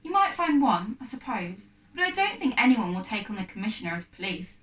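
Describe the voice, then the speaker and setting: A woman's voice: on the high side, sing-song, brisk, fairly bright tone. A woman voicing a blunt, fearless, streetwise boy who is proud and dismissive, in a storybook reading. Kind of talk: storybook character dialogue. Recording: echoey room, distant mic.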